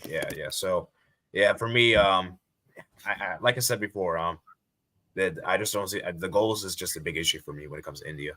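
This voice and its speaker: A man's voice: low-pitched, brisk, bright, forceful tone. A man from Bangladesh giving hedged but firm opinions in a casual football discussion. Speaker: football podcast guest.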